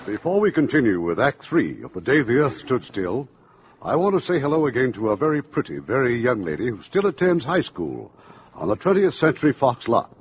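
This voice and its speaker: Animated 1950s male radio host. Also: medium pitch, brisk, husky. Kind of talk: 1950s radio presenting, scripted. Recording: dull, slightly noisy 1950s radio.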